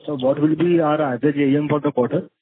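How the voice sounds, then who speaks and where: Male analyst caller: Indian accent, rough-edged husky tone, respectful yet insistent, narrow phone-line audio. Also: on the high side, fairly even intonation, fast talker. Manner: deferential, persistent, often says sir. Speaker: analyst caller asking questions. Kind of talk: spontaneous conference call questions.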